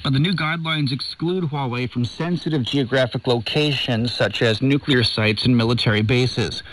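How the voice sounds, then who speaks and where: A man's voice: medium pitch, a bit bright, a little hiss or hum, low-quality recording. A man presenting scripted radio news, heard through a shortwave broadcast. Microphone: studio broadcast heard over shortwave.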